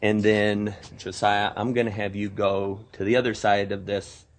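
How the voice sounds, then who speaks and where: A man gives step-by-step directions in a lowish, gravelly voice. Faint noise and clicks sit under his words. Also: flat delivery, normal speed. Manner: directive, giving instructions.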